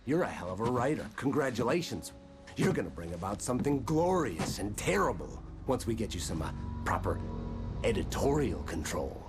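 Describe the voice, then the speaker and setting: A menacing male kidnapper in a video game. He taunts and threatens smugly, his pitch moving dramatically, with music clearly behind him. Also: sing-song, moderate pace. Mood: smug, taunting. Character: smug menacing kidnapper demanding a writer's manuscript. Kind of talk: video game cutscene acting.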